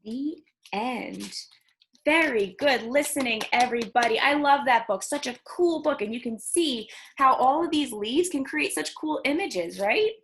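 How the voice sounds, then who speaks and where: Children's storytime librarian, female, slightly high voice, slow delivery, expressive and encouraging read-aloud. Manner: expressive, encouraging, addresses young listeners with questions. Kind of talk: reading picture books aloud to children.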